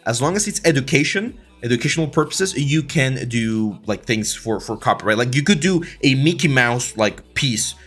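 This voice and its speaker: A man in his thirties with a Mexican accent, hosting a casual livestream tutorial on 3D modeling, reading chat questions and answering them in a relaxed way, with lots of "uh" and "like" and frequent restarts. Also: medium pitch, normal speed, few pauses. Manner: relaxed, filler-heavy, many restarts. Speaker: 3D art instructor and livestream host.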